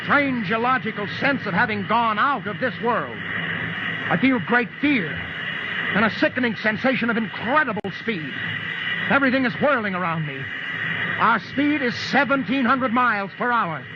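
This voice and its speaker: Casting: tense scientist-pilot, very high voice, urgent clipped reports, vintage radio drama, heavy noise. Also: male voice, animated, moderate pace. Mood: tense reporting. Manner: clipped reporting that breaks into panicked cries. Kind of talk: old-time radio drama acting. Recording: noisy, muffled old radio broadcast.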